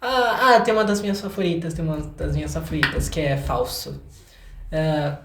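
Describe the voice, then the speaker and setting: A man's voice: on the high side, fairly even intonation, moderate pace, background noise. A young Brazilian man chatting casually and playfully as an interview guest, with an informal, self-deprecating way of talking. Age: young adult. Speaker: singer-songwriter guest. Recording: echoey, roomy sound.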